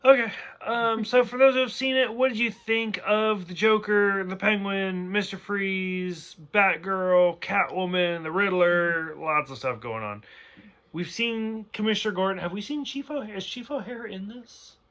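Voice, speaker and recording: A man hosts a relaxed review chat, rambling from point to point with plenty of "like" and "um." His voice is bright and sits a little high. He sounds slightly tipsy. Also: measured pace. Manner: rambling, chatty, full of "like" and "um". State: a bit tipsy. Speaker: show host.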